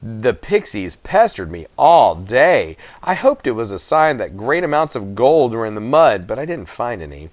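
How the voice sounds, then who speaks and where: A man's voice: mid-range voice, big pitch swings, moderate pace, few pauses, no room sound. A man narrating a children's novel aloud from the text as a storybook reader.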